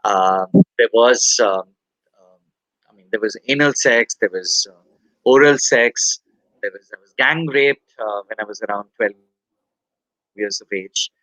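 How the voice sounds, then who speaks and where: A man from India in his forties, speaking candidly as an interview guest, halting and soft-spoken with many pauses and fillers. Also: fairly low pitch, no echo, low-quality recording.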